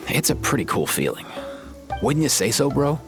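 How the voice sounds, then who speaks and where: A man's voice: mid-range voice, sing-song, normal speed, loud music behind the voice. An actor playing a casual, slangy young man who knows animal companions well, in video game style. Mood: laid-back, friendly. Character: laid-back young man, casual saurian companion expert.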